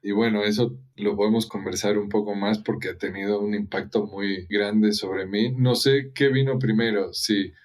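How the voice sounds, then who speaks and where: A man from Ecuador, a podcast guest, tells his family story in a reflective, measured way. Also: lowish voice, fairly even intonation, a little mellow. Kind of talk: conversational interview.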